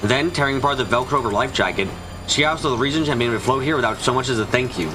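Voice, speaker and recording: A man's voice: mid-range voice, rapid-fire, rough recording. A man narrating a scripted story in a dramatic style for a visual novel fan dub. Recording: music behind the voice. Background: clear background music.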